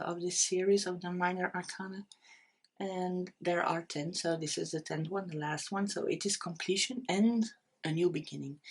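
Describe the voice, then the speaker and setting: A middle-aged woman with a Dutch accent reads tarot cards to camera. Her voice is husky and sits a little low, and she speaks very slowly. She hesitates often, restarting words and filling pauses with "um". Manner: slow, hesitant, with many fillers and restarts. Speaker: tarot reader. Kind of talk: unscripted tarot reading to camera.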